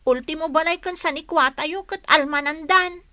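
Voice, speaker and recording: Woman acting a high-voiced, worried village daughter. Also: normal speed, sharp tone, dry recording. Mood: worried protest. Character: worried young village daughter, frustrated, protesting.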